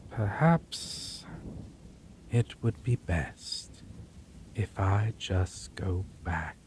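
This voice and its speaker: Ancient male vampire, played by a man for ASMR roleplay: lowish, extremely slow, predatory and courtly, breathy theatrical phrasing, rain and thunder behind. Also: animated, noisy background, exaggerated voice. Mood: brooding, weary.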